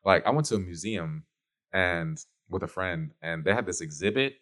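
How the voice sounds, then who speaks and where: Young texas rapper guy, really deep voice, rambly, says like a lot. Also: expressive, a bit bright. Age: young adult. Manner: quick, rambling, heavy use of "like". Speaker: rapper guest. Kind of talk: casual radio interview.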